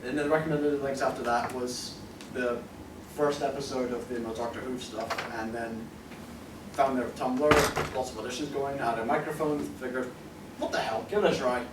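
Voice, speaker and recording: A man's voice: medium pitch, fairly even intonation, normal speed. A young British man chatting casually on a panel, rambling, jokey and sweary. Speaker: convention panelist, amateur audio drama voice actor. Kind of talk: casual unscripted panel chat. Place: convention panel room, indoors. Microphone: distant camera microphone. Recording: roomy, noisy, distant convention room recording.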